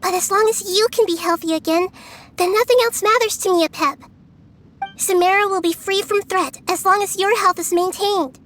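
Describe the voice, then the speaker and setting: A woman voicing a small, gentle girl deity in a video game, speaking in a calm, measured, earnest and formal way, wise and composed. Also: very high voice, slight background noise. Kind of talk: English video game voice acting.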